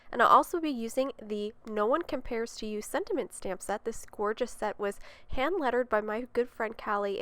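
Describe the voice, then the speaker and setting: A woman's voice: a little high, a bit bright, no echo. A young woman with a slightly congested voice, chatting upbeat through a step-by-step card-making tutorial. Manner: chatty and upbeat, explaining as she works. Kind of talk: step-by-step craft voice-over.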